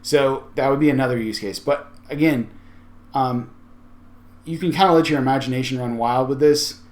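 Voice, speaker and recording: A man talking informally through a software tutorial, thinking aloud as he goes. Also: mid-range voice, moderate pace. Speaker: tech tutorial host. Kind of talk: casual screen-share tutorial.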